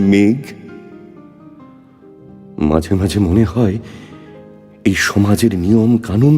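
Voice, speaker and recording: A man's voice: fairly low pitch, darkish tone. A man playing a lovelorn, brooding poet in an emotive recited verse dialogue, with theatrical phrasing. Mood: anguished yearning. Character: lovelorn male poet, wistful, yearning, brooding. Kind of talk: dramatic Bengali poetry recitation as phone dialogue. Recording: long reverb, music underneath.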